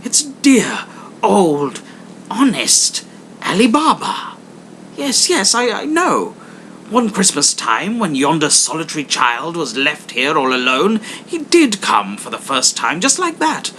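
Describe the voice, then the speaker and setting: A man puts on an old storybook gentleman overcome with childlike delight. His pitch leaps up high and he exclaims loudly and excitably over his memories. Clear noise and rumble sit behind the voice. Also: takes it slowly, full character voice. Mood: excited, ecstatic.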